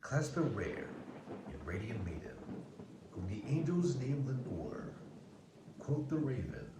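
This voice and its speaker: A man acting a solemn, sorrowful poetry narrator with a quiet, grave delivery. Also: mid-range voice, big pitch swings, takes it slowly, reverberant, recorded from a distance, background noise.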